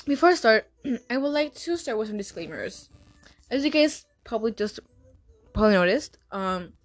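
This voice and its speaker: A young woman hosting a casual solo podcast, talking fast with frequent stutters and restarts. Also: a little high, animated, soft background music. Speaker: podcast host.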